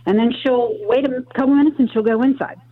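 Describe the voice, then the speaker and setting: A woman's voice: fairly high pitch, steady tone, fast talker. A woman from Delaware calling a radio show, friendly and a little hesitant. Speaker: caller. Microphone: telephone. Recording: tinny phone line.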